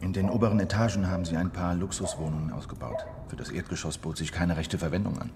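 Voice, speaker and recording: Patient criminal insider, deep, animated delivery, assured, radio drama, faint background noise. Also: male voice, fast. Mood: assured explaining. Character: confident male heist planner, patient insider criminal. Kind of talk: German radio crime drama acting.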